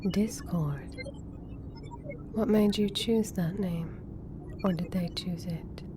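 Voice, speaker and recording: Composed female doctor voiced by an actress: slow, lowish, formal and clinical, audio roleplay with clear background noise. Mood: curious. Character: intelligent, composed female government scientist doctor. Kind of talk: ASMR roleplay drama.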